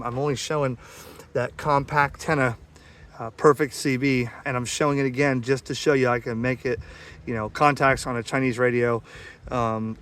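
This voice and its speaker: A man's voice: mid-range voice, a bit bright. An American man chatting plainly and informally outdoors, switching between casual talk and amateur radio calls. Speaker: radio hobbyist making videos. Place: backyard by a metal shed, outdoors.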